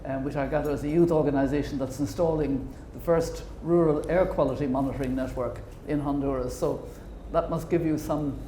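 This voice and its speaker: Male panel moderator, halting and friendly, mellow tone, roomy recording. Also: on the high side, fairly even intonation, a little hiss or hum. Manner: hesitant, many ums and uhs, friendly joking.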